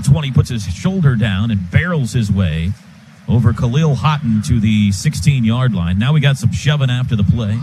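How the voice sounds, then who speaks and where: An American man calling live football on the radio as play-by-play announcer, in clipped phrases that build toward excited calls. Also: medium pitch. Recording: stadium booth with loud crowd noise.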